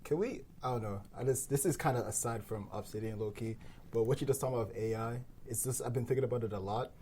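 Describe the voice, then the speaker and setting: A man's voice: medium pitch, fast talker, warm tone, slight background noise. A man hosting a podcast, talking casually in a round-table discussion. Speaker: podcast host.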